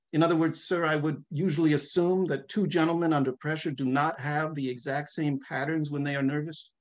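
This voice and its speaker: A middle-aged man reads fiction aloud, voicing formal questions and answers. His voice sits a little high for a man and his delivery is measured. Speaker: author reading from a novel. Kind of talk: dramatic reading of a question-and-answer hearing. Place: small room at home, video call.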